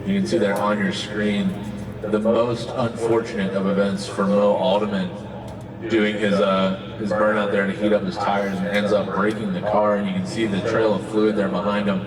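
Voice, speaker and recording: A man's voice: lowish voice, level tone, moderate pace. A man commentating live on drifting from a track booth, explaining the action in a casual play-by-play style. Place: announcer booth at an outdoor race track. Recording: echoey, distant tower audio, loud crowd and engines. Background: loud crowd and car engines.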